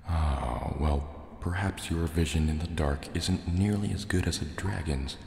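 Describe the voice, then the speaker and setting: Very deep, gravelly sea serpent dragon, acted by a man. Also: exaggerated voice. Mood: hesitant, softening. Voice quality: gravelly, softly spoken. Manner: slow, hesitant, formal wording. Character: wary, brooding male sea serpent dragon in a cave. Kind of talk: soft-spoken ASMR roleplay. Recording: cavernous echo, long reverb, faint water noise.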